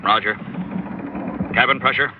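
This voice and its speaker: An actor playing a tense, brooding astronaut commander in an old radio drama, clipped and cold. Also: male voice, mid-range voice, steady tone, a little slow, close to an everyday voice. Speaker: spacecraft commander. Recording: dull old radio recording, faint hiss.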